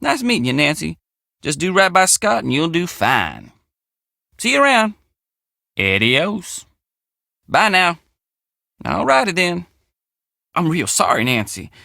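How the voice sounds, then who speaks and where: An actor playing a folksy, earnest, excitable young country handyman in a video game, with an American Southern accent and a colloquial drawl. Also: a little high, creaky, dry recording, studio quality, heavily acted. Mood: friendly, upbeat, then apologetic. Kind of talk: video game character dialogue.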